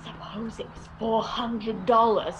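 A woman's voice: on the high side, fairly even intonation, unhurried, noisy background, close to an everyday voice. A woman playing an anxious, superstitious older mother with folksy phrasing in a radio drama. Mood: excited.

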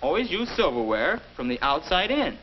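A man's voice: on the high side, sing-song, normal speed. An actor playing a confident young man of twenty-one, patiently teaching in the scripted style of a 1950s instructional film. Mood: brisk, encouraging. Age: young adult. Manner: didactic, crisp scripted reassurance. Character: confident 21-year-old man, patient mentor to his younger self. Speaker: mentor and explainer. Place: indoor film set. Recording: dull, noisy old 1950s film soundtrack.

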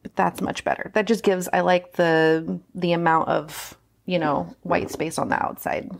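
A woman talks through a hands-on craft project in an informal, think-aloud way. Her voice sits a little low and has a clear creak to it. The delivery is chatty and unscripted, like a tutorial host working as she speaks. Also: fairly even intonation, measured pace, slight background noise.

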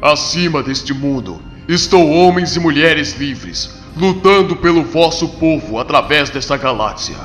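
A proud warrior god acted by a man speaks slowly in a fairly high male register. His delivery is solemn, formal and defiant, a motion comic hero in long reverb over faint music. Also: expressive, fairly bright tone. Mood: rousing, solemn. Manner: solemn, formal, archaic phrasing. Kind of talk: dramatic motion comic dub.

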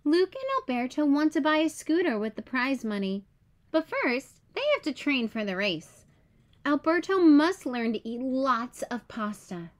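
High-pitched woman reading a children's storybook. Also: big pitch swings, measured pace. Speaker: read-aloud storyteller. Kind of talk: children's picture book read-aloud.

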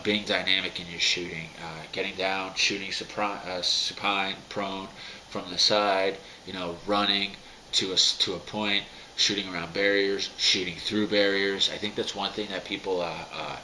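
A man's voice: low-pitched, bright, forceful tone, slight background noise, rough recording. A man in his thirties, getting over a cold, giving a rambling, filler-heavy talk to his camera at home. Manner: rambling, many fillers and restarts. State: recovering from a cold. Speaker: firearms vlogger. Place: dim home room, indoors.

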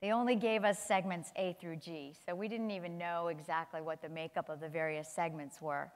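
Woman, 60s, conference panelist; lively delivery, slightly low pitch, reverberant hall. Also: fairly quick. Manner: animated, gestures while talking. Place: large conference hall stage. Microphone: lapel mic.

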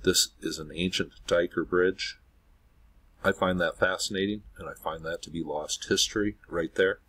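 A man's voice: sits low, steady tone, normal speed, a bit bright. A man narrating his own screen recording in an earnest, speculative way, leaning often on "obviously". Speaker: video host.

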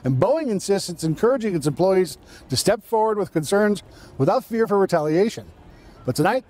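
A man hosting a news podcast, reading a scripted report in a measured, explanatory way. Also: high voice, animated, a little mellow.

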